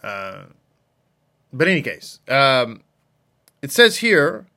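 A man's voice: medium pitch, big pitch swings, takes it slowly, pushed and bright. A man giving an informal scripture lesson as a religious teacher, repeating phrases for emphasis. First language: English.

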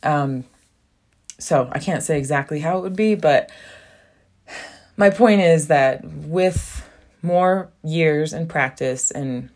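A woman's voice: deep voice, slow, pauses often, a little mellow. A young woman hosting a casual solo podcast from a small bathroom at home, rambling with lots of fillers and frequent laughs. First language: English. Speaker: podcast host.

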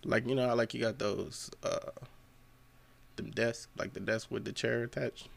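A man in his thirties, a comedian chatting casually and telling animated stories. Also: normal speed, gravelly. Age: 30s. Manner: animated storyteller, jumps high into character voices. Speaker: comedian guest. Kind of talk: casual chat.

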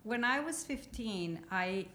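A woman's voice: medium pitch, lively intonation, slow pace, sharp tone. A young adult woman from Mexico with a Mexican Spanish accent, chatting as an interview guest, restarting her sentences and laughing often. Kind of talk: casual interview chat. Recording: roomy echo.

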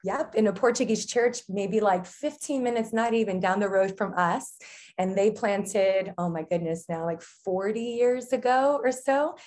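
A bubbly woman in her forties from New Jersey, chatting as a guest on a church podcast. She laughs easily and talks with warm, faith-filled enthusiasm in an average-pitched voice. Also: normal speed. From New Jersey, USA. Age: 40s. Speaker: guest pastor. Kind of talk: casual podcast conversation.

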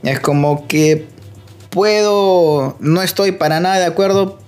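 A man's voice: sits high, unhurried, darkish tone, smooth, soft background music. A man co-hosting a casual podcast chat, explaining football news at length with playful asides. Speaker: co-host.

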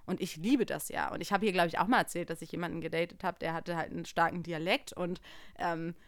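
A woman's voice: fairly low pitch, steady tone, quick pace, no room sound. Young woman chatting candidly, close dry sound. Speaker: podcast co-host. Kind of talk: casual personal chat.

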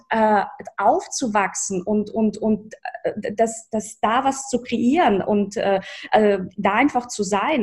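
A woman in her thirties whose first language is Russian, who grew up in Russia, telling her life story as an interview guest with many fillers and restarts. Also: fairly high pitch. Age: 30s. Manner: lively, reflective, frequent fillers and restarts. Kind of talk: personal storytelling in a talk.

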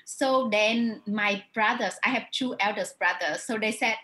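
A woman's voice: mid-range voice, a little slow, sharp tone. A woman from Vietnam with a Vietnamese accent, speaking thoughtfully in an interview about her writing, often restarting and adding "you know". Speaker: author. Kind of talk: reflective interview answers.

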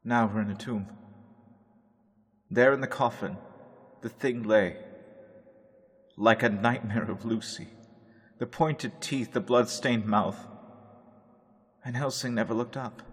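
An actor playing a frightened young doctor who narrates his diary in a hushed, dread-filled storytelling manner. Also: mid-range voice, fairly even intonation, a little slow, long pauses, husky. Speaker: radio drama actor. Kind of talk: old-time radio drama narration.

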